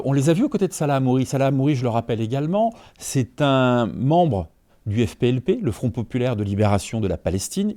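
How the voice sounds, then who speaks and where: A man's voice: mid-range voice, dark tone. A man in his fifties, a journalist guest in an interview, making emphatic points and often checking that the listener follows. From Franco-Iranian. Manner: emphatic, peppered with "en fait" and rhetorical checks. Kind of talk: interview answers, argumentative.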